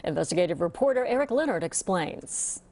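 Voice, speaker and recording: A woman's voice: fairly low pitch, animated, brisk, a little mellow. A woman with an American accent reading a scripted news introduction as an anchor.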